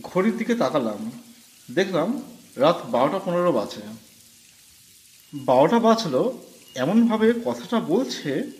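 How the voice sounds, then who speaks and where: Expressive male narrator for a first-person ghost story; high and lively delivery, reverberant room. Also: unhurried, pauses often. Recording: roomy with faint music.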